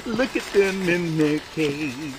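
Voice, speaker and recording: A man's voice: a little high, animated, slow, over music, poor audio. A man voicing a sinister, gleeful ice cream seller who kidnaps children, taunting in a theatrical sing-song in a video game. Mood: gleeful menace. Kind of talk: video game cutscene villain acting.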